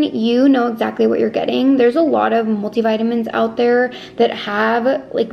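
A woman in her twenties talks informally to her vlog viewers in a slightly scratchy, fairly high voice with plenty of vocal fry. She peppers her chat with "like" as a filler. She is recorded indoors at home, with faint ambient noise behind her. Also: dark tone, dull audio. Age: 20s. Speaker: lifestyle vlogger.

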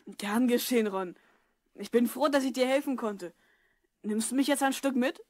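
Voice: medium pitch, moderate pace, no echo, natural voice. An actor voicing an eager, curious twelve-year-old boy in a German children's audio drama. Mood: friendly, generous. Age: child figure, twelve.

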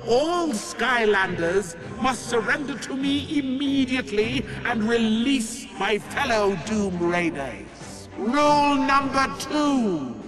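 Imperious, slow-spoken queen villainess. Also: medium pitch, rough recording. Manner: pompous, regal, drawn-out delivery. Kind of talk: video game cutscene acting. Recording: loud music behind the voice.

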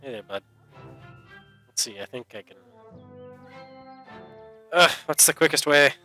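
A man with a bright, forceful voice talks through a video game in a loose, casual way. He mutters to himself and swears freely as he plays, with game music behind him. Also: mid-range voice, expressive, measured pace. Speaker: let's play host. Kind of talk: casual gameplay commentary.

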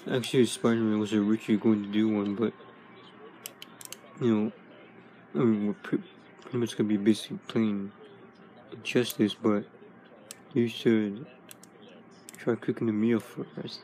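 A man's voice: fairly low pitch, level tone, moderate pace, pauses often, warm tone, natural voice, rough recording. A man voicing a laid-back male robot toy hero in a home toy video, talking with lots of fillers and restarts. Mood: easygoing. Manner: rambling, many fillers.

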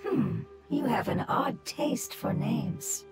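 A woman voicing a gentle floating fungus creature in a video game, with soft, measured, reflective speech. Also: high-pitched, sing-song, vocal fry, full character voice. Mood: gentle, thoughtful. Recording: game music under the voice.

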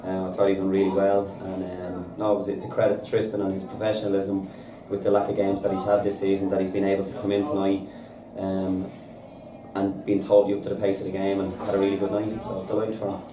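Irish manager, 30s, post-match chat: low, even-toned voice, rattled off fast, roomy echo, crowd noise behind. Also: male voice, far from the mic. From Ireland. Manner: upbeat, fast and repetitive, says "listen" a lot. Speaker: football manager. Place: bare indoor room at a stadium. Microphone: reporter's handheld recorder held out. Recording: echoey room, off-mic, crowd behind.

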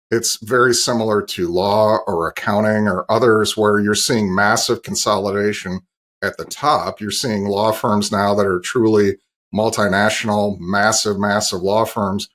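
Low-pitched middle-aged man explaining business. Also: a bit bright. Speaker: interview guest, industry analyst. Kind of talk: explanatory business interview talk. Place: home office, indoors. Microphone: video call.

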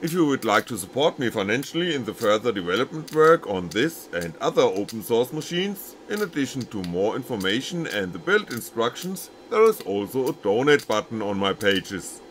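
A man's voice: mid-range voice, very animated, normal speed, few pauses, a little hiss or hum. A man reading scripted technical narration as a maker presenting his own project.

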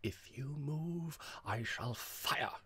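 A man's voice: on the low side, normal speed, creaky, fairly bright tone, slightly rough, heavily acted. A man voicing a prim, fussy, middle-aged genteel bachelor who flusters easily, in an audiobook comic novel. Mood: frightened, faint.

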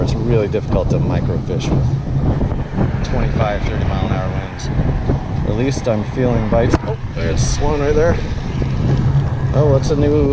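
A man giving casual, off-the-cuff fishing commentary into a body-worn action camera, halting and muttering to himself as he goes. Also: mid-range voice. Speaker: angler, vlog host. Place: outdoors on bridge and canal bank. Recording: outdoors with loud wind and traffic noise.

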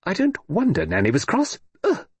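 A husky-voiced man voicing an elderly aristocratic great-aunt who is brisk, teasing and dry-witted. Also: mid-range voice, very animated, no echo, pitched above the performer's own voice, full character voice. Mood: scolding. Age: older.

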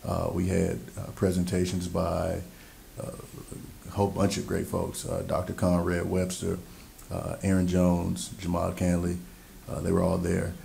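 Deep, gravelly man with a flat delivery. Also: a little slow, a little hiss or hum. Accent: Pacific Northwest American. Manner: relaxed, upbeat, easygoing. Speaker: school board member. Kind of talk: board meeting report. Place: board meeting room. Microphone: desk microphone.

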